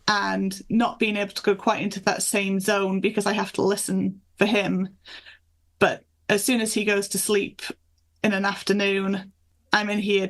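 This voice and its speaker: A woman from the north of England and Michigan, chatting in an anecdotal, conversational way as an author being interviewed. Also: mid-range voice. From north of England and Michigan, USA. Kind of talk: casual interview chat.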